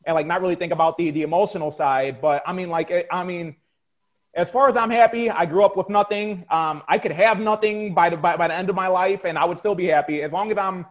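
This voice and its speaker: A young man with a high voice answers questions in a halting way, restarting his sentences and leaning on "like" and "um". He sounds casual and unpolished. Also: muffled recording. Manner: hesitant, many fillers and restarts. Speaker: guest, competitive bodybuilder. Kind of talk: casual interview chat.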